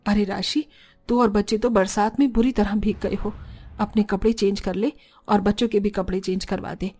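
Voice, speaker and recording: A woman's voice: mid-range voice, steady tone, normal speed, a little mellow, natural voice. A woman voicing an older mother-in-law, authoritative and doting on her daughter, in a cartoon family drama. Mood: instructing.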